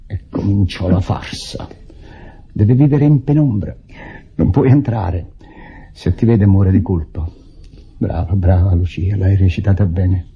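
An actor playing a bitter, wounded husband in an Italian radio drama, husky, deliberate and theatrically sarcastic. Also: male voice, low voice, exaggerated voice. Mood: bitter sarcasm. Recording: dull vintage radio drama recording.

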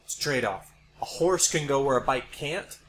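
A man's voice: medium pitch, expressive, moderate pace, fairly bright tone. A middle-aged American man co-hosting a podcast in casual, speculative conversation. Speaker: podcast co-host. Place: home studio. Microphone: podcast microphone. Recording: clearly noisy amateur podcast recording.

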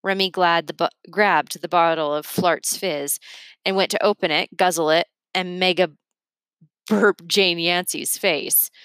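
Woman doing a kids book read-aloud, lowish voice, very dry close sound. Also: steady tone, unhurried, fairly bright tone, clear, resonant tone, dry recording. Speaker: reader of a children's book.

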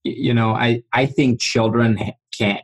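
A man's voice: mid-range voice, fairly even intonation, normal speed. A man in his forties from Iran, a guest on an interview, earnestly recounting memories and often restarting his sentences. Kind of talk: storytelling interview.

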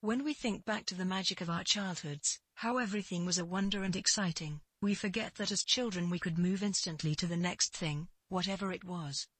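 A mid-pitched, slightly rough-edged voice reads written life advice. The delivery is earnest and measured, with emphatic declarations. The sound is dry, with very little room on it. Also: fairly bright tone, no room sound. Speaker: spiritual advisor answering a letter. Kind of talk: scripted spiritual advice narration, reading a written reply.

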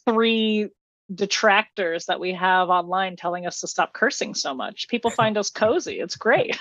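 A woman speaks as a podcast guest in a casual, conversational way. Her pitch is average for a woman. The recording is dry and a little muffled. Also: a little slow, a bit bright, no echo. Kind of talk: casual chat.

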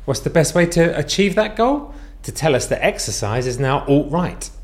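A man's voice: on the high side, big pitch swings, normal speed. A man hosting a casual commentary show, talking in a crude, mocking way. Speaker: host.

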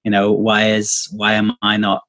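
A man's voice: fairly low pitch, monotone, normal speed, fairly bright tone. A man chatting casually as a podcast guest, rambling with lots of fillers and restarts, heard over a video call. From Wales. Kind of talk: casual testimony chat.

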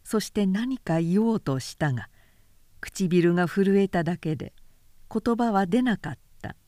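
A woman reading literary fiction as a narrator, with measured delivery and careful enunciation. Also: medium pitch, animated, warm tone, no echo, clean studio sound. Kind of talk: scripted literary audiobook narration.

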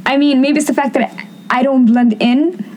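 A woman co-hosting a casual podcast chat, talking in a lively way and quick to chime in. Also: high-pitched. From Somali. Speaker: podcast co-host.

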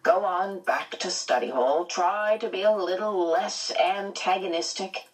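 A woman voicing a stern, prying male school principal in an audiobook, measured and admonishing. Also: mid-range voice, far from the mic, lightly acted, poor audio. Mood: stern, exasperated. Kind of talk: audiobook character voice.

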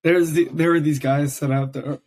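A young man with a lasting raspy voice hosts a laid-back podcast. His pitch sits a bit high for a man, and he talks in a loose, rambling way full of fillers. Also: fairly even intonation, a little fast, a little mellow. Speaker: podcast host. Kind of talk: casual chat.

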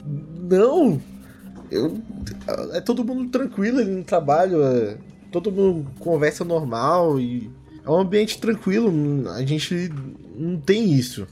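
A man's voice: high-pitched, expressive, unhurried, darkish tone, faint music behind, voice pushed up. A man playing a nervous office worker questioned as a witness, hesitant, stammering and repeating his words in a tabletop game. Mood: uneasy, defensive.

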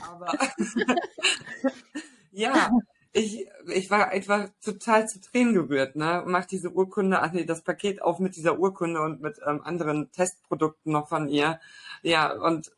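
A woman with a naturally raspy voice speaks as a guest in a casual podcast chat. She talks eagerly about her own experience but stalls often, filling gaps and tagging her sentences with little checks to her listener. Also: fairly low pitch, a little slow. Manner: enthusiastic, hesitant with fillers and tag questions. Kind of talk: personal testimony in casual conversation.

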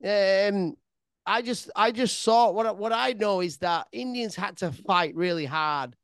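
Male host, high and smooth; casual, curious, restarts his questions. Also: measured pace, fairly bright tone, no room sound, clean studio sound. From England. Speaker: podcast host. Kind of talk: casual podcast chat.

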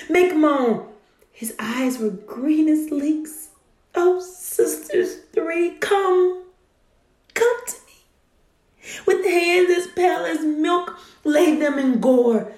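Woman as high, echoing, melodramatic grieving lover. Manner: overwrought, halting, wailing. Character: melodramatic grieving lover in a clumsy play-within-a-play. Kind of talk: mock-tragic verse in a radio play. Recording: roomy, echoing sound.

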